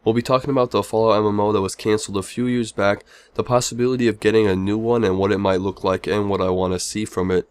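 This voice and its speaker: A man's voice: lowish voice, flat delivery, quick pace, hardly any pauses, clean tone. A man hosting a gaming video in a casual narration, sounding a little sick. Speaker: video host.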